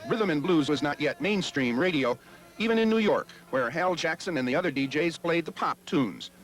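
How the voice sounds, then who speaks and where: A man's voice: a little high, lively intonation, normal speed, faint music behind, rough recording. An American man reading scripted narration for a broadcast history documentary.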